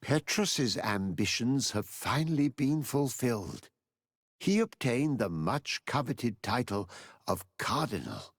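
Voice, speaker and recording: An actor playing an older male mentor narrating a video game epilogue in a measured, expressive storytelling manner. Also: mid-range voice, breathy edge, no echo, clean studio sound, exaggerated voice. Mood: measured, reflective.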